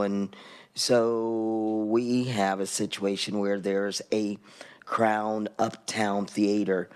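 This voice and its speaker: A man's voice: fairly low pitch, darkish tone. A man serving as a state senator, questioning a witness at a committee hearing in a meandering, hesitant way.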